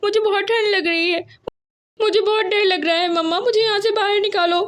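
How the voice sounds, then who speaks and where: Woman as cartoon crow boy, very high, whiny, stubborn, easily frightened. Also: fairly even intonation, brisk, a bit bright. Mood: terrified, pleading.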